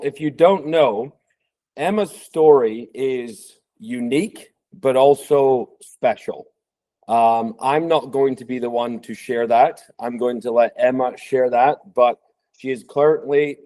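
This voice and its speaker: Mellow-voiced male podcast host. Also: mid-range voice, unhurried. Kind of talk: podcast hosting.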